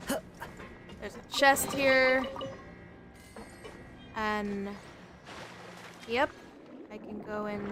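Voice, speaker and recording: A woman livestreamer giving casual, chatty game commentary, talking half to herself and half to viewers. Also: medium pitch. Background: game music and effects.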